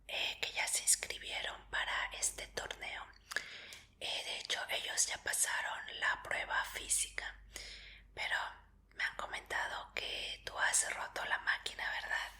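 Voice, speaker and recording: A woman whispers in Spanish, very bright and crisp in tone. She takes her time, gently reassuring the listener in a breathy ASMR roleplay as an official examiner. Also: slow. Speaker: ASMR roleplayer playing a martial arts tournament examiner.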